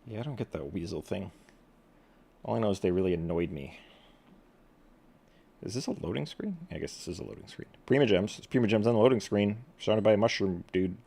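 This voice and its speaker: A game streamer giving casual, offhand commentary in a deadpan, slangy way. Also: low voice, a little fast, gravelly, pauses often.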